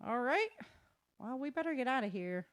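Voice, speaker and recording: A woman's voice: sits high, expressive, normal speed, no echo. Woman hosting a casual show, dry close sound. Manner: informal, slangy, exclamatory. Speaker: show host. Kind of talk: casual chat on a live show.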